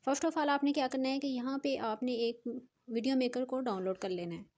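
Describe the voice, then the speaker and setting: A woman's voice: a little high, darkish tone. A woman presents a tutorial. She explains each step as the host, in the manner of someone guiding viewers through an app.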